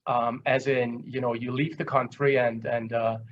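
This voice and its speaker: A dull, lo-fi online recording of a man with an Austrian accent. He lectures in a conversational, explanatory way at a mid pitch, halting often as he works through simple examples. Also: little pitch movement. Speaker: guest lecturer. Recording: dull, lo-fi online call audio.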